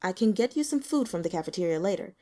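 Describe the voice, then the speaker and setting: Woman reading as a gentle, polite man. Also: on the low side, lively intonation, fast, close to an everyday voice. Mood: gentle concern. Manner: soft-spoken, polite. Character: gentle, considerate, well-meaning man. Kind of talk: audiobook character acting.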